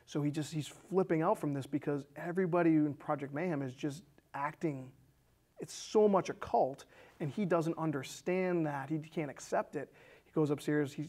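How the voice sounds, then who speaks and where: A man in his thirties speaks in a casual, conversational way as a film show co-host. His voice sits a little higher than most men's. He retells stories as if talking to a friend across the table. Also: fairly even intonation, normal speed, darkish tone. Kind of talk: casual movie discussion, retelling a plot. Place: small indoor studio set with curtains.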